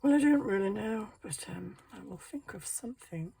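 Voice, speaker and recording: A hoarse middle-aged woman chatting casually to herself while crafting, muttering and poking fun at herself. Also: medium pitch, lively intonation, moderate pace, mellow. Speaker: craft channel host.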